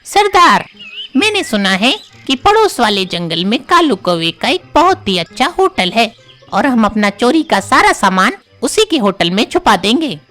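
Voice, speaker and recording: A woman's voice: a little high, very animated, a little slow, a bit bright, voice pushed down. A woman voicing a sly robber bird in a cartoon, sounding eager to please. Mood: scheming.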